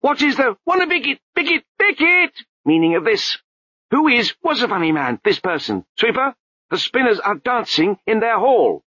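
A squeaky, shrill little abbot who babbles nonsense between grand pronouncements. The voice leaps wildly high and low, with a dull, dry storybook sound. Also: male voice, big pitch swings, moderate pace, sharp tone, slightly rough, no echo, heavily acted. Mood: startled babbling. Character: ancient male abbot reborn as a babbling toddler.

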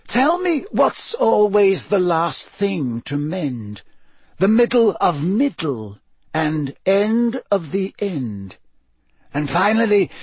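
A man voicing an enigmatic, calmly menacing female sphinx in a storybook, reciting slowly and deliberately in a hoarse voice. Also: high voice, no echo, voice pushed up, exaggerated voice. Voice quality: hoarse, breathy. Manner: slow, deliberate, theatrical recitation.

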